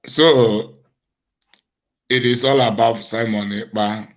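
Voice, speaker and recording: A man, an evangelist hosting his own show, speaking slowly and deliberately to viewers in a hoarse, cracked voice from a cough. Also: medium pitch, fairly even intonation. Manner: slow, deliberate, accusatory. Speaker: evangelist and host. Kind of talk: monologue address to viewers. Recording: dull lo-fi recording.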